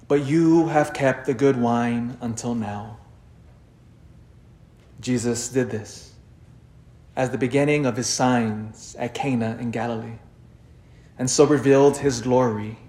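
Priest preaching, measured and emphatic man. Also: medium pitch. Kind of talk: scripture reading and conversational homily.

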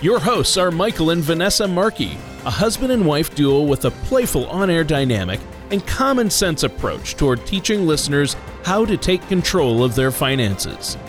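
Lively male announcer over music. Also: fairly high pitch, normal speed. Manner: upbeat, polished announcer delivery. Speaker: show announcer. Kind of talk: scripted promo narration.

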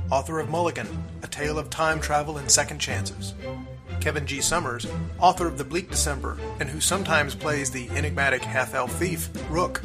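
A man hosting a podcast, reading scripted outro announcements in an upbeat, announcer-like way. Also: on the high side. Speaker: podcast host. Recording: music playing behind. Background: music.

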